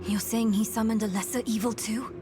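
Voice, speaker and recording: A woman's voice: a little high, steady tone, brisk, natural voice. An actress voicing an earnest, questioning young female apprentice in a video game. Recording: music behind.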